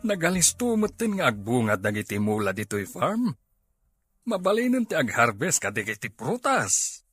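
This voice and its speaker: A man playing a troubled older brother and farm boss in an audio drama, with a husky voice. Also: medium pitch, sing-song, moderate pace, a bit bright. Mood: businesslike. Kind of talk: amateur Filipino audio drama acting.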